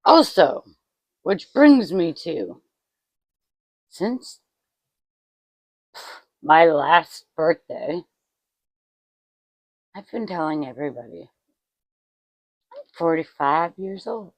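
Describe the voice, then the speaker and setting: A woman's voice: low-pitched, very animated, drawn-out words, long pauses, dry recording, rough recording. A woman in her forties chatting casually to her vlog audience at home, self-mocking and swearing freely. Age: 40s. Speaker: vlogger.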